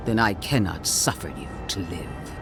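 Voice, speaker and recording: An actress voicing an imperious, wrathful zealot warrior in a video game, grandiose and menacing. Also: female voice, rumbling low, expressive, moderate pace, vocal fry, exaggerated voice. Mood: cold, condemning. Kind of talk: dramatic video game villain acting. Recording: voice under loud cinematic music.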